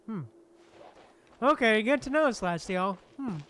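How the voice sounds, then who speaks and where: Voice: mid-range voice, lively intonation, unhurried, slight background noise. A streamer giving casual, slangy, unscripted gameplay commentary, muttering reactions and reading text aloud offhandedly. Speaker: streamer playing a game. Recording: loud game music behind the voice.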